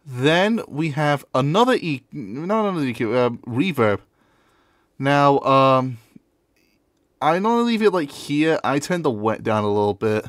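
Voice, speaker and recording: A man's voice: medium pitch, lively intonation, a little slow, no echo. Male tutorial presenter, informal and offhand, recorded dry with very little room sound. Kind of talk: casual screen-recorded software tutorial.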